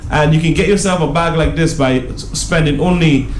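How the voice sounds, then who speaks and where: A man, a supermarket guest on a radio talk show, chatting in a relaxed, loose way. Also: on the high side, brisk, a little hiss or hum. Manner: relaxed, chatty, says "man" a lot, light laughs. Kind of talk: casual radio chat.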